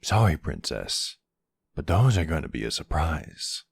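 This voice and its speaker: A flirty male vampire, acted by a man in an intimate ASMR roleplay, very low and husky. He teases with a cocky, sardonic drawl, taking his time and swinging expressively through his lines. The sound is close and dry. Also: very animated, measured pace, no echo, full character voice. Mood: mocking, flirtatious. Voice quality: gravelly, husky.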